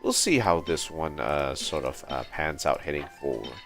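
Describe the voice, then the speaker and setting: Male game streamer, very low gravelly voice, halting think-aloud delivery, light music underneath. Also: expressive, fairly bright tone. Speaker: game livestreamer. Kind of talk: casual livestream gameplay commentary.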